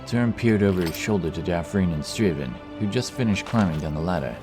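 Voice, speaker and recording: A man narrates a fantasy audiobook in a low-pitched voice. The delivery is scripted storytelling. Music is plainly present behind the narration. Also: animated, brisk.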